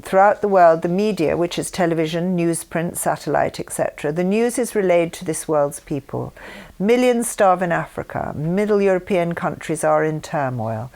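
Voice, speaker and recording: A woman reading a written text aloud in a measured, deliberate way, phrase by phrase. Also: lowish voice, a little mellow. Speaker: reader of her mother's writings.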